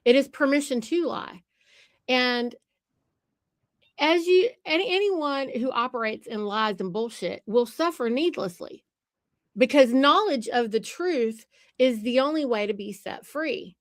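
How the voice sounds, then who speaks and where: A woman's voice: high-pitched, lively intonation, unhurried, no room sound, studio quality. A woman hosting a podcast conversation, talking in an emphatic, lecturing way. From United States. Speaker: podcast host.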